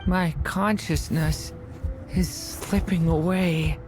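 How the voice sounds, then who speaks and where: A woman voicing a gentle young female created being in a video game, speaking softly and haltingly as she searches for herself. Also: on the low side, fairly even intonation, music in the background. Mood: faltering. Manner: soft, halting, wondering.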